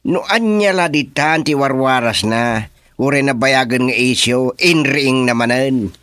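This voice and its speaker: Guy acting complaining ilocano husband in radio drama, slow talking, bright tense voice, defensive. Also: medium pitch, no echo, clean studio sound, natural voice. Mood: aggrieved.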